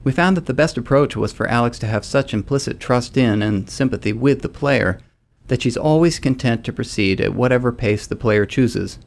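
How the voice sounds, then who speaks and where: A man with an average-pitched voice reads prepared commentary as a game developer. He lays out design reasoning in full, orderly sentences. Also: normal speed, creaky.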